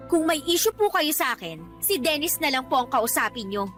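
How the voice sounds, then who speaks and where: A young Filipino woman living with her boyfriend in a radio soap. Her voice is extremely high, and she argues back, exasperated and aggrieved. Soft music sits underneath. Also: lively intonation, brisk. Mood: defiant. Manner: argumentative, exasperated, complaining. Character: young live-in girlfriend, defiant, aggrieved, answering back. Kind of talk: Filipino radio drama acting.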